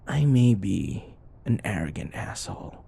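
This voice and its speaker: Man acting a cocky, flirty office rival, swinging pitch. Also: big pitch swings, mellow, heavily acted. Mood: seductive, smug.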